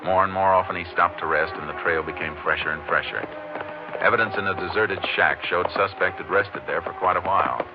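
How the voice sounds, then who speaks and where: Low-voiced Texas Ranger, vintage radio. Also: male voice, fairly even intonation, fairly quick, over music. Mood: matter-of-fact. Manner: clipped, matter-of-fact, methodical. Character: steady, observant Texas Ranger investigator. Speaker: lead actor in a radio western. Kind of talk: 1950s radio drama acting and first-person narration. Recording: noisy, muffled vintage radio recording.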